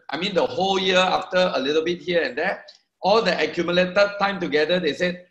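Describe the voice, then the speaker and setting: A man in his forties talks to a seminar crowd, repeating his key words for emphasis as he draws points on a board. His voice sits a little high for a man. The sound is echoey, as in a meeting room. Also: a bit bright. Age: 40s. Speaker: network marketing speaker. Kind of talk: motivational seminar talk to a live audience.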